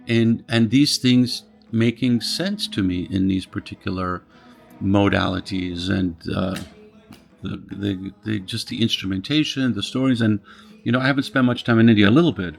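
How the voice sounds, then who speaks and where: A man's voice: mid-range voice, vocal fry. A middle-aged man from Poland, interviewing in a thoughtful, searching way and often restarting his phrases. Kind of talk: reflective conversation.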